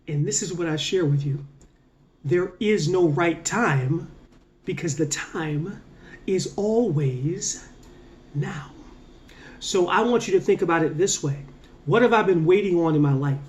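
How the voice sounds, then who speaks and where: A man talking as a mindset coach, giving an earnest, casual motivational talk to camera, rising on key points and slowing down to stress his words. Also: high-pitched. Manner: earnest, repetitive, emphatic with drawn-out slow endings.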